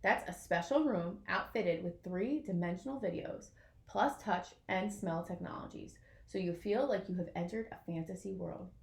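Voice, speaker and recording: A woman reading a children's picture book aloud in an expressive way, as if to young listeners. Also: lowish voice, normal speed, creaky, reverberant. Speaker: storybook reader.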